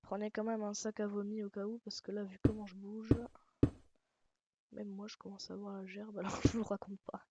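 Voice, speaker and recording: Gravelly woman with an Alsatian accent. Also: medium pitch, little pitch movement, a little fast, dull audio. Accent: Alsatian French. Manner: rambling, swears, self-mocking jokes. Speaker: gaming channel host. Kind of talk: casual game tutorial commentary. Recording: loud background noise.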